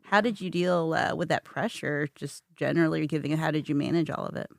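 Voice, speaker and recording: A host asking long, rambling questions that often restart mid-sentence in a relaxed conversational interview. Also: medium pitch, a little fast, vocal fry, no echo, studio quality.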